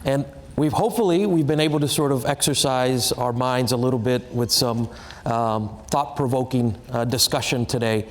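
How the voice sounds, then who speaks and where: A man moderating a panel on stage, a self-described storyteller who talks in halting restarts. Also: medium pitch. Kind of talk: speaking on stage at an event. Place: large hall with a live audience. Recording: reverberant hall, faint noise.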